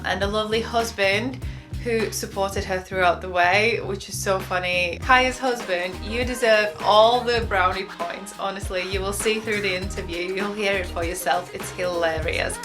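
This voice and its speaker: Woman host, upbeat and giggly, talking over a clear music bed. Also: mid-range voice, fairly even intonation, normal speed. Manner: upbeat, warm asides, giggly. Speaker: host, interior designer. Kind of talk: YouTube channel intro and interview.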